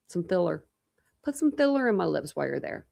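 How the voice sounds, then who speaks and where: A woman's voice: fairly low pitch, very animated, moderate pace, vocal fry, a little mellow. A young woman with a slight lisp, chatting casually with viewers during a livestream and replying to their comments. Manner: chatty, rambling, answers chat comments. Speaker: true crime livestream host.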